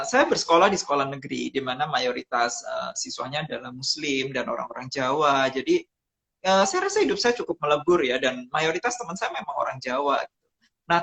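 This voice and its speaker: A man from Java tells his story over a video call in a fairly high voice. He speaks reflectively and stops often on hesitant "eh" sounds as he gathers his thoughts. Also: fairly bright tone. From Java, Indonesia. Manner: reflective, with frequent "eh" pauses between phrases. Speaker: guest, writer and photographer. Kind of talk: conversational storytelling in a live-streamed interview.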